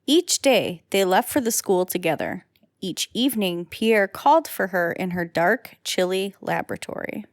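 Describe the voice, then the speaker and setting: Woman narrator with a mid-pitched voice. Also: animated, moderate pace. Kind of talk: scripted old-time radio drama narration.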